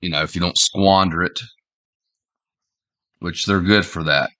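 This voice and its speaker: Man, forties, stuffy nasal cold, lowish voice, bright edge, rambling with lots of fillers, webcam in a small room. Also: steady tone. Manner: rambling, halting, many fillers and repeated starts. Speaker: online commentator. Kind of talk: casual opinion vlog. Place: small indoor room at home.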